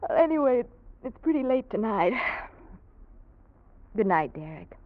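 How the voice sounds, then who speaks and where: A woman's voice: on the high side, very animated, measured pace. An actress playing a poised, guarded young single woman in an old radio drama, speaking politely and with reserve. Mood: polite, coy. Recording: dull, muffled old radio recording.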